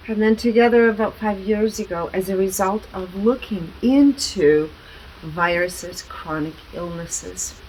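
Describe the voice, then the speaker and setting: A woman speaking earnestly and deliberately as an interview guest, explaining her work with emphatic stresses. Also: mid-range voice, darkish tone, a little hiss or hum, poor audio. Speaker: guest, alternative wellness practitioner.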